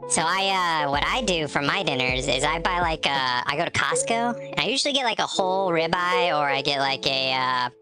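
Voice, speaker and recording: A woman with a notably low voice, nasal and stuffed up from allergies, chatting loosely with her live-stream viewers. She laughs easily and greets people by name between bits of rambling talk. Clear music plays underneath her. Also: animated, normal speed, few pauses. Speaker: game streamer. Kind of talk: casual live-stream chat.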